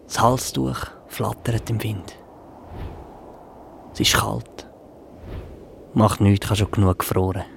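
An actor playing a brooding dreamer in a radio drama, murmuring a poetic inner monologue in short, half-whispered phrases. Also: male voice, fairly low pitch, slow pace, long pauses. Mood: quiet, musing. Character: brooding man dreamily musing to himself about flight. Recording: clear ambient noise behind the voice.

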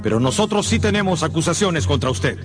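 An actor playing a bold, proud young musketeer in a radio drama. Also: male voice, on the high side, expressive, brisk, close to an everyday voice. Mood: bold, accusing. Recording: music behind the voice.

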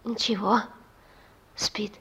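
An actress playing a tender, patient young mother in a Soviet radio drama, soft and gently teasing with her sweetheart. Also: mid-range voice, steady tone, takes it slowly, slightly rough, full character voice. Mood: tender, quiet. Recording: noisy old Soviet radio recording.

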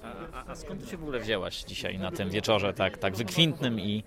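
A man's voice: on the low side, lively intonation, brisk. A man co-hosting a podcast, asking casual questions in a playfully wordy way. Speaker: podcast co-host, interviewer. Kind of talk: casual interviewing. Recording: busy crowd behind the voice. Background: crowd chatter.

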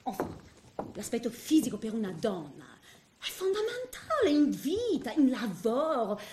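A woman's voice: on the high side, wildly swooping pitch, measured pace, noisy background. A woman playing a vain, coquettish middle-aged lady in a comic stage monologue, affected and theatrical, with French interjections. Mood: haughty. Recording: roomy, echoing stage sound.

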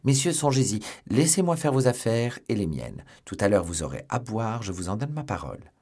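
A man's voice: lowish voice, big pitch swings, fairly quick, darkish tone, husky. A man voicing an eager, loyal young swordsman, earnest and commanding, in an adventure story. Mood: commanding, loud.

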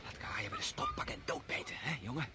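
A young actor playing an eager young nobleman on a wolf hunt, excitable and swinging from hushed to shouting, in a hissy vintage radio play. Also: fairly high pitch, fast talker. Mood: hushed hopeful. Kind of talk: vintage radio drama acting.

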